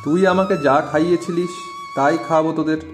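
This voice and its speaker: A man's voice: on the high side, steady tone, quick pace, over music, close to an everyday voice. A man playing a hospitable village working man in a story cartoon, chatting in a hearty, familiar way. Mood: friendly, inviting. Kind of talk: Bengali moral-story cartoon dubbing.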